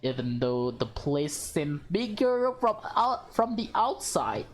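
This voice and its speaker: A woman's voice: low voice, big pitch swings, slow, a little hiss or hum. A woman doing a casual playthrough, reading visual novel dialogue aloud, stumbling over lines and reacting to them. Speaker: video creator playing a visual novel.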